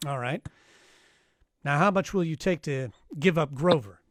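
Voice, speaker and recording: A man's voice: on the high side, measured pace, dry recording, clean studio sound, close to an everyday voice. A man playing a wisecracking, dryly sardonic private eye in an old-time radio detective play. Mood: dry, bargaining. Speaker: actor in live radio play, also narrating.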